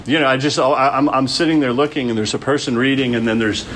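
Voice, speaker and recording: A man leading a church group discussion in a casual, halting way, with many restarts. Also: medium pitch, steady tone, a bit bright, a little hiss or hum.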